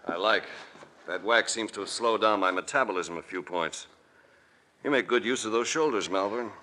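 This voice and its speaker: An actor playing a cool, wry, tough adventurer in a 1940s radio drama, with an American accent and deadpan delivery. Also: male voice, lowish voice, moderate pace. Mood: wry, unruffled. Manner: deadpan, sardonic, dry understatement. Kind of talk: 1940s radio detective acting and narration. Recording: vintage radio recording with light hiss.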